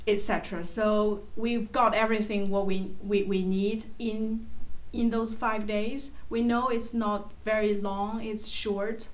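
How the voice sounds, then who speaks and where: A woman's voice: medium pitch, little pitch movement, unhurried, hardly any pauses, poor audio. A woman in her thirties from China, an English teacher speaking with enthusiasm and plenty of fillers in a casual group interview. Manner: chatty, enthusiastic, frequent fillers. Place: bright room with large windows, indoors.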